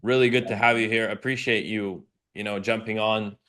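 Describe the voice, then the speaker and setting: A man's voice: on the low side, fairly even intonation, normal speed, a bit bright. Upbeat male coach hosting. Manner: upbeat, hype-style promo delivery then chatty questions. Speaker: host, business coach. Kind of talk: scripted intro then casual interview.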